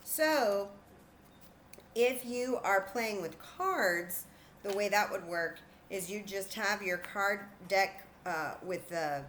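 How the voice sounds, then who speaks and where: A woman's voice: mid-range voice, a bit bright, slight background noise. A woman teaching young children in an interactive demonstration, patient and encouraging, thinking aloud as she goes. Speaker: children's story time teacher.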